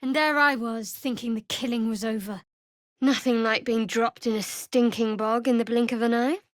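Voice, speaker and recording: A close, dry-sounding, fairly high young woman's voice acted by a woman for a fantasy game cleric. She is guarded and devout, with a measured delivery and a wry, secretive edge. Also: fairly even intonation, no echo, close to an everyday voice. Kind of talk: fantasy video game voice acting.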